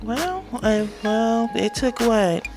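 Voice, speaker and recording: A woman co-hosts a relaxed, unscripted podcast in a creaky, heavy voice thickened by allergies. She talks colloquially and likes to repeat her phrases. Music plays clearly behind her. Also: slow pace. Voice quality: deep, heavy, congested. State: allergy-affected, clearing throat. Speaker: podcast co-host. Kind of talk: casual unscripted chat.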